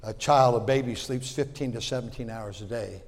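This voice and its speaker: A man in his sixties preaching a sermon in a folksy way, repeating words and swinging from loud to quiet. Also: mid-range voice, normal speed, long reverb tail. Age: 60s. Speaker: pastor.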